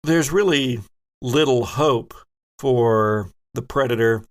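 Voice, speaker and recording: Gravelly man narrating tabletop horror. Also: mid-range voice, slow pace. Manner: descriptive, suspenseful storytelling. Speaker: game master and host. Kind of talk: dramatic improvised narration of a tabletop horror game.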